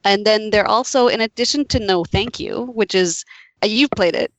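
A woman's voice: medium pitch, moderate pace, fairly bright tone, no room sound. A woman chatting casually on a podcast panel in a tentative, hedging way. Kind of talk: casual roundtable chat.

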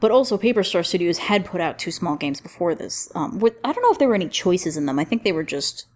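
A woman hosting a casual roundtable podcast, speaking in a chatty, encouraging way with clear enthusiasm. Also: on the low side, sing-song, quick pace, darkish tone. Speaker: podcast host.

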